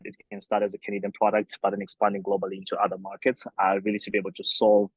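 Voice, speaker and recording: A man's voice: fairly low pitch, husky, no echo, low-quality recording. A young man from Zimbabwe, a company founder, answering interview questions thoughtfully with many fillers and restarts.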